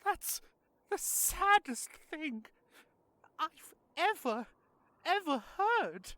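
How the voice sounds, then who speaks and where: A man voicing an excitable, innocent male skeleton in a fan audio drama, gushing and melodramatic. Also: very high voice, no room sound, exaggerated voice. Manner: gushing, melodramatic, rushes his words.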